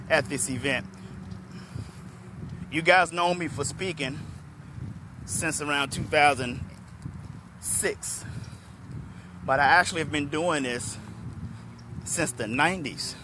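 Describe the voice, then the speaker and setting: A middle-aged man from Washington, D.C., a motivational speaker, talking hoarsely and chattily to viewers on a phone held at arm's length outdoors. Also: a little high, takes it slowly, long pauses, noisy background. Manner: relaxed, chatty, addresses viewers by name. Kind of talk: live-streamed talk to viewers. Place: outdoors in a parking lot under trees.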